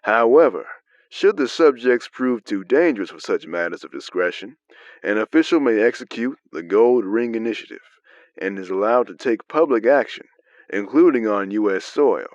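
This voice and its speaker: A man playing a smug, scheming manipulator in an audio drama, with a theatrical, unhurried delivery. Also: lowish voice, expressive, close to an everyday voice. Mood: persuasive, scheming. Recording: dull lo-fi, roomy echo.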